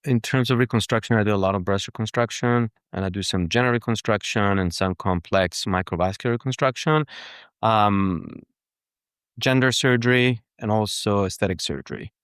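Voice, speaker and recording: A man from Greece and Cyprus, answering interview questions casually and haltingly as a guest surgeon. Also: fairly low pitch, a bit bright, smooth, no room sound, studio quality.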